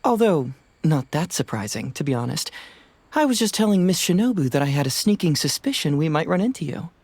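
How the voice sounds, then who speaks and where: Lively, smug young detective. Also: male voice, a little high, a little fast, husky, heavily acted. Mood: breezy, smug. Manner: breezy, teasing, self-assured. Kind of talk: animated game dialogue.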